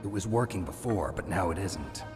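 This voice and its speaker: A stoic, battle-hardened male soldier from a video game. His voice is low, gravelly and gruff, and he talks in a terse deadpan. Loud music plays underneath. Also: moderate pace. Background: loud cinematic music.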